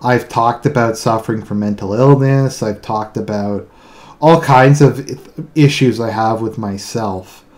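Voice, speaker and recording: A man with a deadpan delivery speaks casually in a solo monologue, often repeating words and restarting his sentences. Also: medium pitch, measured pace. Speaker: online video commentator. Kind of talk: casual monologue explaining own humour.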